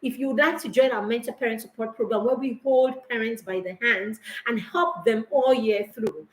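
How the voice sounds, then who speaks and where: A woman's voice: fairly high pitch, normal speed, roomy sound, rough recording. A middle-aged woman giving parents a talk, emphatic, repeating her key lines and asking them questions. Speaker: parenting mentor.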